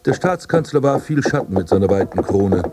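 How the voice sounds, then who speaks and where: An actor playing a proud, defiant blinded prince and landscape gardener in a literary radio play, declamatory and haughty. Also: male voice, fairly low pitch, expressive, a little fast, very noisy, close to an everyday voice. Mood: proud, reminiscing.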